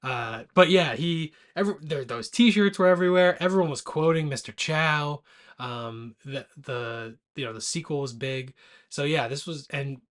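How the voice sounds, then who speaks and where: A man's voice: a little high, normal speed, a bit bright. A man in his late twenties co-hosting a movie podcast, chatting casually and jokingly while drinking. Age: late 20s. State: drinking alcohol. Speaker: podcast co-host.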